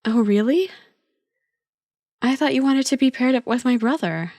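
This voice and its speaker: A woman's voice: high-pitched, expressive, normal speed, darkish tone, dry recording. A woman playing a friend's teasing older sister in a casual, intimate ASMR roleplay, chatty, playful and reassuring.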